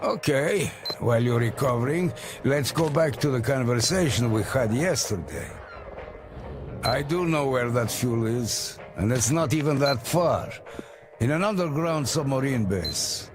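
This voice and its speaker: A man playing an easygoing, friendly survivor in a video game, talking in a chatty, gravelly, drawn-out way. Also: mid-range voice, expressive, over music, lightly acted. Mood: calm, helpful. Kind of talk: video game cutscene acting.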